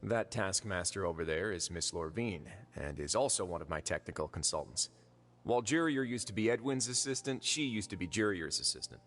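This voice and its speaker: A man playing a composed, dryly amused prison administrator in a video game, speaking in a measured, suave way. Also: sits low, expressive, a bit bright. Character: composed, wry male prison fortress administrator. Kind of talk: video game character acting. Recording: game music playing behind the voice.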